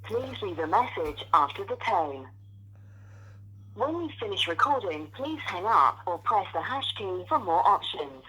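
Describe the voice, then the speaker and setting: A woman reading a formal, measured voicemail system prompt. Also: medium pitch, big pitch swings, bright, forceful tone, husky, poor audio. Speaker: automated voicemail greeting. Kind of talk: recorded phone system prompt.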